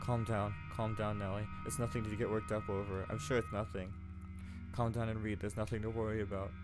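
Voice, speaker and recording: A man's voice: fairly low pitch, little pitch movement, a little fast, over loud music, natural voice. A man voicing an anxious fourteen-year-old noble girl who talks in frantic, pleading questions. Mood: self-soothing.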